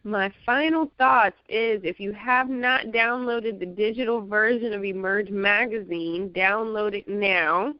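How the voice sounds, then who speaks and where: A woman guest on a radio talk show, a magazine publisher, talking in a friendly, relaxed way. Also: mid-range voice, unhurried, few pauses, no room sound. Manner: friendly, relaxed, light laughs. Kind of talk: casual interview chat. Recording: dull lo-fi sound, dry.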